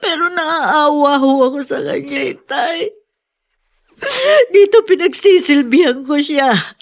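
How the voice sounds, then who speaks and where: Radio drama foster mother: high-pitched, worried, respectful, pleading and emotional. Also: slow. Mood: tearful pity. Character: worried, tender-hearted foster mother, a grown daughter. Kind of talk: Tagalog radio drama acting.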